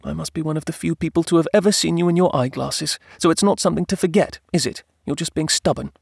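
A spirited young countess, as a male narrator puts her on in an audiobook. The pitch is lifted well up, the manner sweet, earnest and insistent. The sound is dry. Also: fairly even intonation, quick pace, creaky, darkish tone, husky, no room sound. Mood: gently insistent. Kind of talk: audiobook character dialogue.

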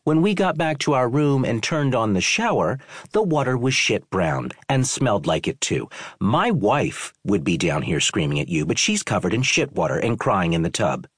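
A man's voice: on the low side, very animated, normal speed, few pauses, breathy edge, no room sound, studio quality, pitched above the performer's own voice. A man doing a blustering angry tourist. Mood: indignant. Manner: blustering, indignant. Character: red-faced angry male tourist, demanding and bullying.

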